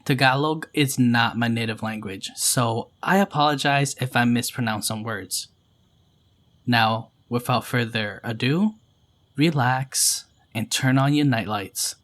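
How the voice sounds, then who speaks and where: A man hosting a storytelling channel, reading scary stories in a calm, measured way. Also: mid-range voice. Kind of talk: scripted narration of scary stories.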